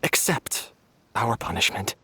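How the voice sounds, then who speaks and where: An actor playing a resigned young man in a video game, husky and subdued as he owns up. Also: fairly low pitch, big pitch swings, a little slow, bright, forceful tone, a little hiss or hum, heavily acted. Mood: resigned, contrite.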